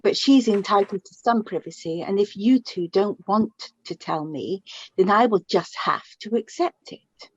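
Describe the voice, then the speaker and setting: A woman playing a middle-aged mother of the bride in a radio play, fond, gently prying and rambling. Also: mid-range voice, normal speed, husky, close to an everyday voice. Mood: apologetic. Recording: echoey room, dull lo-fi sound.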